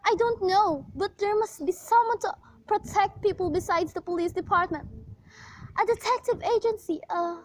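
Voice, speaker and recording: A woman's voice: very high voice, moderate pace, dry recording, poor audio. A woman playing a frantic, demanding and self-pitying invalid wife in an amateur radio play, speaking with agitated, pleading melodrama. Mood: anxious, demanding.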